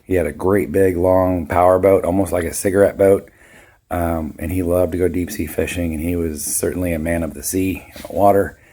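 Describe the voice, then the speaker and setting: A man in his fifties with a raspy, hoarse voice, chatting casually and rambling through a boat repair vlog. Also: deep voice, fairly even intonation, fairly quick, dark tone. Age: 50s. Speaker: boat owner vlogger.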